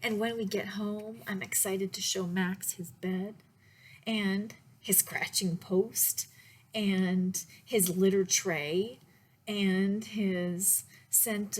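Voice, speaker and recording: A woman reading a picture book aloud for story time in a deliberate, expressive way, pausing to speak to her young listeners. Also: medium pitch, slightly rough. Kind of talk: read-aloud children's storytime, with asides to listeners.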